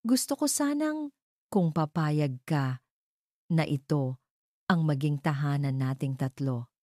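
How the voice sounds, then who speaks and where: A woman's voice: low-pitched, expressive, slow pace, darkish tone, no room sound, studio quality. Woman voicing a tender, earnest man in love. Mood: tender, hesitant.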